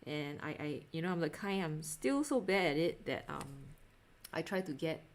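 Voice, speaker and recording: A young woman with a Singaporean accent and a voice a little on the low side. She talks casually to a livestream audience while drawing, with halting, giggly, self-deprecating delivery. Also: lively intonation. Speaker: art livestreamer.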